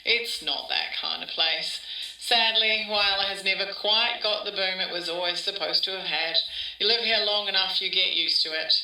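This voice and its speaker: Older aussie lady reminiscing for a podcast drama, sharp forceful voice, kinda far from mic in a roomy space, rambly. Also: mid-range voice, normal speed. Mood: resigned. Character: wistful older Australian woman reminiscing about her small-town childhood. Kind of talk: interview-style podcast drama, conversational reminiscing. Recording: roomy echo, distant from mic.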